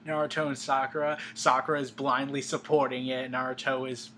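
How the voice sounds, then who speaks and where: A man's voice: mid-range voice, little pitch movement, a little fast, sharp tone. A young man giving firm opinions in a casual anime chat, often restarting his sentences. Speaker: co-host. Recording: faint background hum.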